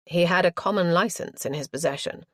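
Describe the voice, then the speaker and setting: A woman doing a scornful Regency gentleman. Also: fairly low pitch, brisk, dry recording, natural voice. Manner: dry and scornful. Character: scornful young Regency gentleman, the aunt's husband.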